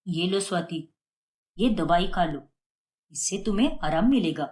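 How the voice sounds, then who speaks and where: A young husband in a cartoon moral tale, voiced by a woman. He is caring yet reproving, admonishing in a deliberately lowered voice. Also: animated, darkish tone. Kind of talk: Hindi moral-story cartoon voice acting.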